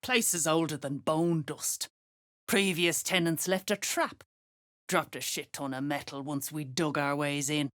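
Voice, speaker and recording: A woman playing a gruff, foul-mouthed female duergar overseer in a video game, curt and contemptuous. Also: low-pitched, measured pace, fairly bright tone. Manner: curt, cursing, contemptuous slang. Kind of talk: video game character dialogue.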